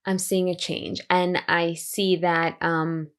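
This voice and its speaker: A woman's voice: on the low side, flat delivery, slow pace, clean tone. A woman hosting a podcast, chatting casually and backing up her guest with short affirmations. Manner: supportive listener, frequent short affirmations. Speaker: podcast host. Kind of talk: casual conversation.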